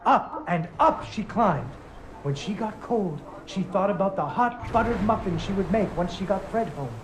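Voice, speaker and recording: A woman playing a gentle storybook narrator. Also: mid-range voice, expressive, moderate pace, background noise, natural voice. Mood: storytelling. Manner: soft, sing-song and fond, talking to a child. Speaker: storyteller in a video game. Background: game music.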